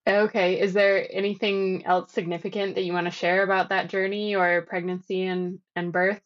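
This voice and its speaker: A woman who co-hosts a podcast, reading an introduction and asking her guest measured questions. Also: medium pitch, little pitch movement, lo-fi sound. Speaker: podcast co-host.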